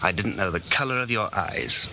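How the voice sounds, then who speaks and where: A man's voice: lowish voice, lively intonation, moderate pace. An actor playing an anxious, bitter man in his late thirties, a secret dissenter, with earnest intensity in 1940s radio style. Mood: nervous, tender. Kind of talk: 1940s radio drama acting. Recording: faintly noisy old 1940s radio recording.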